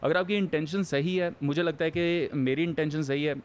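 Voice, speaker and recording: A man's voice: fairly high pitch, fairly even intonation. Joking man co-hosting a podcast. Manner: joking, mixes Hindi with English; explains plainly with filler "uh" pauses. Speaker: podcast co-host. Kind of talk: casual podcast chat.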